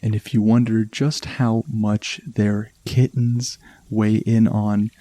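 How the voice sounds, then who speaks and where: A man with a Canadian accent hosting a relaxation podcast, talking in a soft, slow and soothing way. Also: on the low side, level tone, no room sound. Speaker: podcast host.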